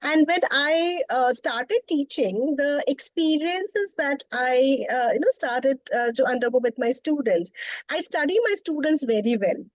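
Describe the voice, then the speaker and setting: A middle-aged woman from Bengal with an Indian English accent, a poet and professor speaking as a guest, often laughing and thoughtful with pauses. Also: high-pitched, expressive, dry recording, muffled recording. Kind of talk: interview conversation.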